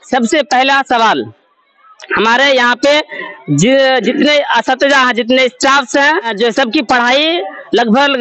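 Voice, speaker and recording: A man with a very high speaking voice and a Jharkhand Hindi accent puts long, probing questions to a panel. He talks at length and restarts his sentences, in the manner of a local field reporter. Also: bright, forceful tone.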